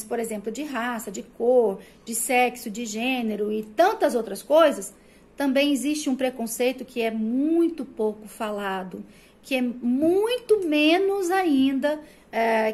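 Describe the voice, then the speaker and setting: A woman from Brazil with a Brazilian Portuguese accent that pulls the R, giving a recorded prep-course lecture in an explanatory way, full of rhetorical questions and "né?" tags. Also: high-pitched. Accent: Brazilian Portuguese, rolled R of the interior. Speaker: Portuguese teacher.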